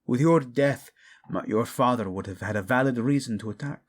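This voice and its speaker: A man's voice: medium pitch, moderate pace, close to an everyday voice. A man playing a proud, vengeful young king, fiercely protective of his wife, in a dramatic romance audio roleplay. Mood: grave, wounded. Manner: brooding, commanding, tender in endearments.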